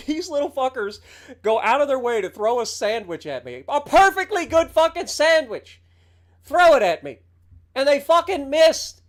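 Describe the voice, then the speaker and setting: A man's voice: extremely high pitch, fairly bright tone. Man, late thirties, game streamer, profane, sarcastic, heated casual rant. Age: 30s.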